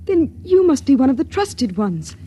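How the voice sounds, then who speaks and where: A woman's voice: high voice, big pitch swings, moderate pace, mellow. An actress in a mid-century radio drama playing a timid, yearning young woman raised on a spaceship, speaking in a hesitant, solemn way. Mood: guarded. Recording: noisy old radio recording.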